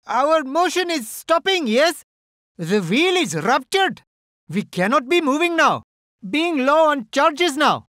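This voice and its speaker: A formal artillery gunner. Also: male voice, very high voice, very animated, slow, fairly bright tone, no echo, studio quality, full character voice. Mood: dutiful. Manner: formal, oddly phrased reports. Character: Indian artillery soldier, stiff formal English. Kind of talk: game unit voice lines.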